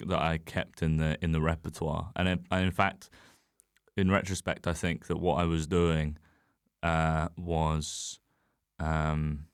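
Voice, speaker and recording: A man's voice: extremely low pitch, flat delivery, unhurried, clear, resonant tone, no echo, studio quality. A man chatting casually as a comedian guest on a podcast, rambling, self-deprecating and sweary. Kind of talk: casual chat.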